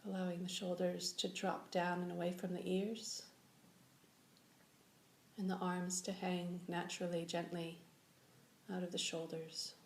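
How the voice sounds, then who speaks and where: A woman leading a guided meditation as a teacher, giving slow, gentle instructions with long pauses. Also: on the low side, level tone. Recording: echoey room with faint background noise.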